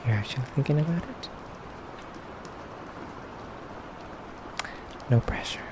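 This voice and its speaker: A man playing a courteous yet menacing effeminate vampire captor in an ASMR roleplay, soft-spoken and deliberate with frequent pauses. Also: mid-range voice, expressive, background noise, lightly acted. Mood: calm, curious. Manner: soft-spoken, slow, deliberate, pausing often.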